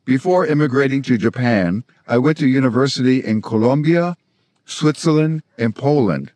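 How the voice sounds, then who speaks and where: This is an American man in his sixties hosting an instructional video. His voice sits at an average pitch for a man. He explains things step by step in a measured, scripted manner, and the sound is dry, with hardly any room in it. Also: darkish tone, dry recording. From South Florida, United States. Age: 60s. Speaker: language-learning video host, polyglot. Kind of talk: scripted instructional narration to camera.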